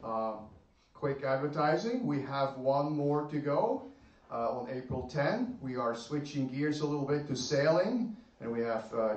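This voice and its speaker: An older man, the club's commodore, giving welcoming remarks in a plain, unhurried way with many pauses. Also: mid-range voice, a little mellow. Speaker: yacht club commodore. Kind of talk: welcoming remarks at a club talk. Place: club meeting room with a seated audience. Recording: roomy, distant, dull room recording.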